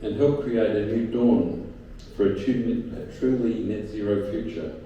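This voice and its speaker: Slow, dark-voiced man pitching in an echoey hall. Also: highs cut off. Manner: slow, deliberate, halting with fillers. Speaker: startup founder pitching. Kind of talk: startup pitch. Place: event venue. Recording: echoey room, from far off, faint noise.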